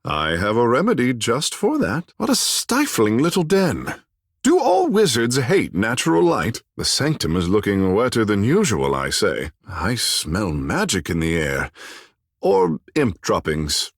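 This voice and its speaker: A vain, theatrical water mage from a fantasy video game. His voice is low and husky, rising and falling dramatically. He phrases things with lofty, courtly formality, and the sound is close and dry. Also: wildly swooping pitch, measured pace, dry recording, heavily acted. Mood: playful, haughty.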